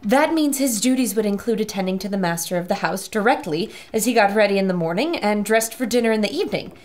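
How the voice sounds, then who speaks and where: An actress playing a sharp-witted adventurer in a pulp radio serial, brisk, articulate and dramatic as she reports to listeners. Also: medium pitch, animated, natural voice. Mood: keen, deducing.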